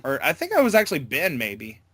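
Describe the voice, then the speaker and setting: A man chatting casually as an interview guest, with a halting, stammering way of talking that often backtracks. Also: a little high, wildly swooping pitch, fairly bright tone, husky, dry recording, studio quality. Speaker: interview guest, amateur voice actor. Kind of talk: casual chat.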